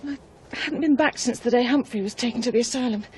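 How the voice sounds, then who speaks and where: A woman's voice: on the high side, fast, a little hiss or hum, close to an everyday voice. An actress playing a tender, anxious newlywed English woman in a radio drama, well-spoken, wistful and polite. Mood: uneasy.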